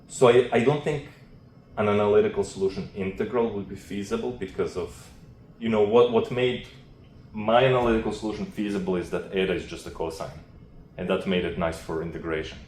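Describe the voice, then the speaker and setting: Deep-voiced man in an echoey room. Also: fairly even intonation, a little fast, a little mellow. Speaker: speaker answering questions after a research talk. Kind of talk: academic question-and-answer, explaining off the cuff. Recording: roomy echo with faint noise.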